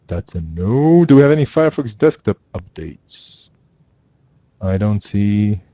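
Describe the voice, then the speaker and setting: Low-voiced man hosting a call. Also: sing-song, no room sound, dull audio. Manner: brisk, playful, with repeated restarts. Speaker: meeting host. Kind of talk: casual meeting moderation.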